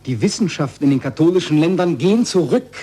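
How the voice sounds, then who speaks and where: An actor playing an anxious, questioning learned man with a husky, earnest voice in a 1940s German radio play. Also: on the high side, expressive, quick pace, dark tone, slight background noise, natural voice. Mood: earnest, troubled.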